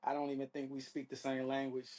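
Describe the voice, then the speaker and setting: Man with flat, slangy delivery. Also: medium pitch, fast talker. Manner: slangy, repeats check-in phrases. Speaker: interview guest, reality dating show contestant. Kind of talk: casual conversational interview answers.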